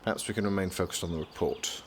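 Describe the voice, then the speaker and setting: An actor playing a dry, sardonic young prince in an audio drama, speaking formally with deadpan wit. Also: male voice, deep voice, fast talker, a little hiss or hum, close to an everyday voice. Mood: sardonic, bored.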